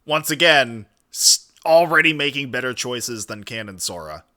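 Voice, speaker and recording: A man's voice: mid-range voice, very animated, normal speed, sharp tone. A man hosting a livestream, giving casual, opinionated reaction commentary in a sardonic, profane way. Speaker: livestream host reacting to a video.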